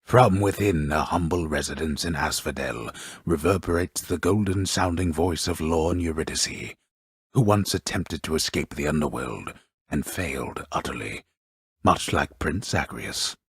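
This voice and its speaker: An actor playing a grave, wry storyteller in a mythological video game, narrating in grandiose, deliberate, archaic phrases with a gravelly, husky voice. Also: male voice, a bass voice, no room sound, studio quality, full character voice. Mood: solemn. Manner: grandiose, deliberate, drily mocking. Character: grave, wry, omniscient male storyteller of Greek myth. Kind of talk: theatrical scripted narration with archaic wording.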